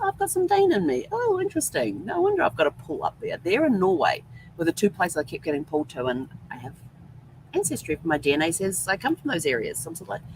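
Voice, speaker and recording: A woman's voice: low voice, slight background noise, poor audio. A woman hosting a vlog, telling a rambling, chatty travel story full of ums. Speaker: host. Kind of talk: casual travel vlog monologue.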